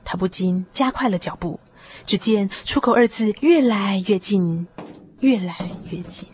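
A woman narrating a radio drama in an expressive, measured literary style. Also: mid-range voice, slightly rough. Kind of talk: scripted radio drama storytelling. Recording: music behind the voice.

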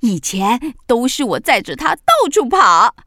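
A woman voicing a lively, affectionate, teasing witch aunt in a children's radio drama. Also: a little high, measured pace, no echo, clean studio sound, heavily acted. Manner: playful, expressive.

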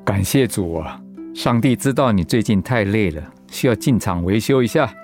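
An actor playing a calm, devout husband with gentle humour in a radio drama. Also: male voice, lowish voice, normal speed, faint music behind. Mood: grateful, soothing. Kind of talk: Mandarin radio drama acting.

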